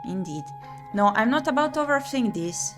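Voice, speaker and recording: A woman streamer reading a visual novel aloud in an expressive, theatrical way as she plays through it. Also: fairly high pitch, moderate pace, over music. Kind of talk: expressive read-aloud of visual novel text with playthrough commentary.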